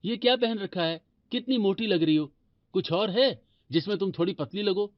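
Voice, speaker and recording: A man's voice: sits high, normal speed, no room sound, highs cut off, natural voice. A man playing a vain young husband in an audio story, blunt, critical and dismissive. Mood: disgusted, belittling.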